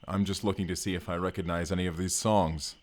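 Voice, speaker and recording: An actor playing a hulking, earnest, gentle barbarian man in an audio roleplay, speaking formally and haltingly. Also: deep voice, steady tone. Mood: sheepish. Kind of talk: first-person audio roleplay acting.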